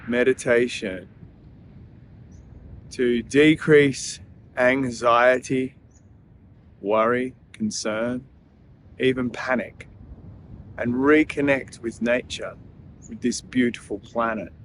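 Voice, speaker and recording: A man leading a guided breathing meditation in a soothing, gentle manner, leaving long pauses between phrases. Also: medium pitch, a little hiss or hum.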